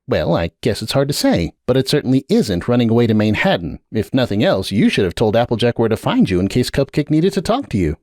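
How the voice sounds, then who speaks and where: A man's voice: mid-range voice, very animated, fast, few pauses, a little mellow, no room sound, studio quality, voice pushed up, natural voice. A man voicing an earnest, somewhat awkward adult unicorn wizard who speaks carefully and apologetically in a dramatic audiobook reading. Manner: careful, apologetic, then insistent.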